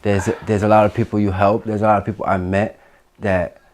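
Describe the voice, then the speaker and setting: Host: young man, low voice, excitable and complimentary, relaxed studio chat. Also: a little fast, slight background noise. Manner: enthusiastic, repeats praise like "that's dope". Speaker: host and videographer. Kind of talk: casual interview chat. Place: small studio room with art on walls, indoors.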